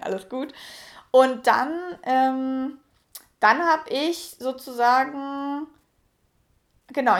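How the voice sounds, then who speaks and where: A woman in her late twenties talking casually and frankly to her channel viewers, with frequent fillers and restarts. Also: fairly high pitch. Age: 20s. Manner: chatty, frank, many fillers. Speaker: YouTuber. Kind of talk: casual personal vlog.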